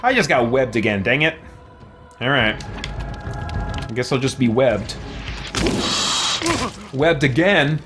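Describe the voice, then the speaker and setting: A man's voice: medium pitch, lively intonation, takes it slowly, long pauses, over music. A man streaming a game with casual, informal commentary, thinking aloud and chatting with his viewers in a rambling way. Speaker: game streamer and YouTuber, host. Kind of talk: casual livestream commentary while gaming, reading and answering chat.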